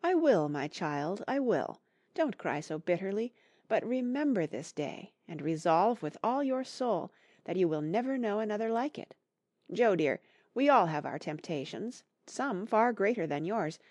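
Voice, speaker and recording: A woman voicing a gentle, patient middle-aged mother in a classic novel, giving soft, measured counsel. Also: mid-range voice, dry recording, lightly acted. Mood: tender. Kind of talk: acted audiobook dialogue from a classic novel.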